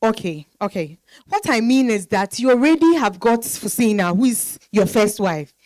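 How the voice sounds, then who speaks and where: A woman's voice: fairly high pitch, lively intonation, normal speed, a little mellow, close to an everyday voice. A Ghanaian woman acting the part of an educated, coy but frank woman being courted, in a dramatized stage reading. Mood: moved, hesitant.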